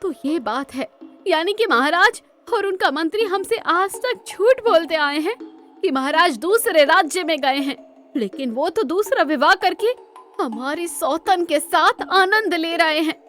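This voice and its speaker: Woman acting a high-pitched, proud, bitter queen. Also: lively intonation, a little slow, fairly bright tone, soft background music, full character voice. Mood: angry, vengeful. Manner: regal, sarcastic, dramatic. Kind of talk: cartoon storybook dialogue.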